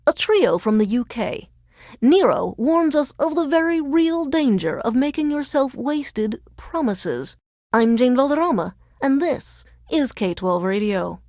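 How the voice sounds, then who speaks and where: An actress playing a wry news reporter reduced to radio DJ in a video game, announcing songs with formal, deadpan newsreader polish. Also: female voice, a little high, no room sound, clean studio sound, exaggerated voice.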